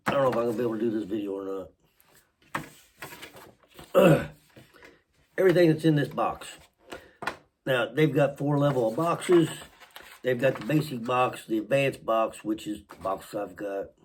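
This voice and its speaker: A hoarse man with a Southern US accent, fighting a cold and talking slowly as he unboxes gear for his channel. His speech is halting, broken by throat clearing, with a faint hiss of noise behind him. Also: mid-range voice, expressive. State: sick with a cold, voice partly lost. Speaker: channel host. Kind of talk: casual unboxing vlog.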